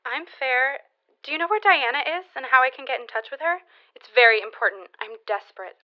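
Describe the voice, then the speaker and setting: A woman's voice: high voice, animated, fairly quick, dull audio. An actress playing an anxious, earnest schoolgirl who speaks formally and urgently in a period audio drama, heard as on a telephone. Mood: grave, worried.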